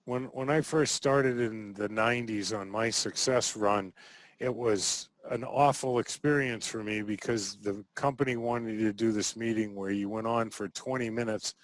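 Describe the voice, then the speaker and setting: A man hosting a casual interview, chatty and quick to laugh, often ending thoughts with a questioning "right?". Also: on the low side, steady tone, dry recording, poor audio. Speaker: interview host.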